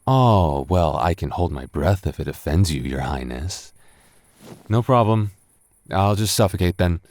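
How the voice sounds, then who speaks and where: A man's voice: sits low, lively intonation, unhurried, darkish tone, dry recording. A man playing a tipsy, teasing young roommate with a crush, stammering through an intimate roleplay audio in a hesitant drawl. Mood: tipsy, flustered. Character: tipsy, teasing, smitten big male roommate, young adult.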